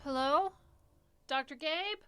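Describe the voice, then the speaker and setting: An actress playing a wary, uneasy young care worker in a live radio horror drama, with a nervy manner. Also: female voice, sits high, slow, fairly bright tone, soft background music.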